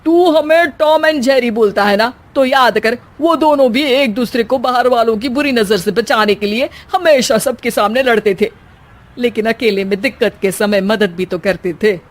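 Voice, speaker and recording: Lady doing a bossy cartoon mother-in-law, middle-aged, kinda high, scolding and sarcastic. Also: moderate pace, lightly acted.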